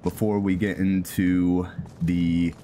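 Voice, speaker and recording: Very deep-voiced man, unhurried. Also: level tone, warm tone, slight background noise. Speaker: roleplay channel host. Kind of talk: casual gameplay narration.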